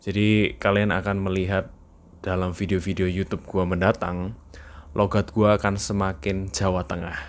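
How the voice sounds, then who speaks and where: A man's voice: low voice, little pitch movement, normal speed. A young man with an East Javanese Indonesian accent, chatting casually in a video journal, slipping in English phrases and laughing now and then. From East Java. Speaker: vlogger.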